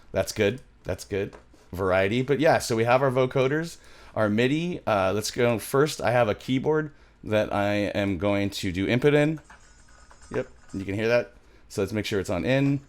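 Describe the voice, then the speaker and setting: A middle-aged man with a fairly low voice walks through music software as a tutorial host. He is informal and loose, thinking aloud as he works at a studio microphone in a small home room.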